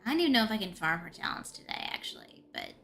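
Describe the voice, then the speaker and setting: A woman streams a game with casual, chatty commentary, thinking out loud as she goes. Her voice is bright and forward, with lively swings in pitch. Faint noise sits behind her. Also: quick pace, creaky. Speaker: live streamer.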